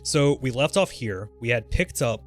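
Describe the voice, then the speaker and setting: A man's voice: mid-range voice, expressive, moderate pace. A man hosting a live gaming stream, chatting informally and muttering little filler sounds to himself as he plays. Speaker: streamer hosting a let's play. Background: game music.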